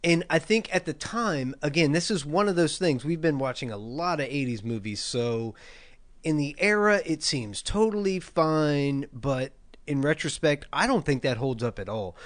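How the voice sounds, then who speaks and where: A man's voice: a little high, lively intonation, normal speed. A man co-hosting a casual film discussion, speaking in an opinionated, emphatic way. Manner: opinionated, emphatic, swears freely. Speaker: podcast co-host.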